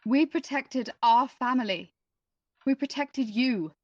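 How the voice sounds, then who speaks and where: A woman's voice: sits high, takes it slowly, no echo, lo-fi sound. A woman playing a stern, prejudiced, protective mother in an audio drama. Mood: cold, disapproving.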